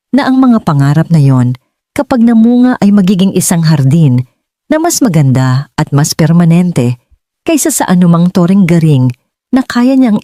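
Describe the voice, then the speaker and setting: A Filipino woman narrating a scripted dramatic story in measured, earnest phrases. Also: fairly low pitch, warm tone, no room sound, studio quality. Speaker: audiobook narrator.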